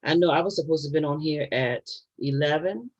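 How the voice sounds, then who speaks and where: A woman's voice: deep voice, fairly quick, highs cut off. A woman in her fifties hosting a casual livestream from home, chatty and given to laughing asides to her viewers. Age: 50s. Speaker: channel host.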